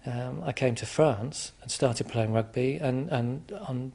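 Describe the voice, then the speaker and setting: A man from England answering interview questions in a hesitant, reflective way, with frequent restarts and pauses. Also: mid-range voice, gravelly, darkish tone. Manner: hesitant, many restarts and ums. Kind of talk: conversational interview.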